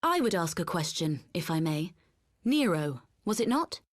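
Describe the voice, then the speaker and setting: A woman's voice: lowish voice, sing-song, normal speed. A woman playing a grave, composed counsellor in a video game, speaking formally and earnestly. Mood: probing, polite. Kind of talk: video game cutscene acting.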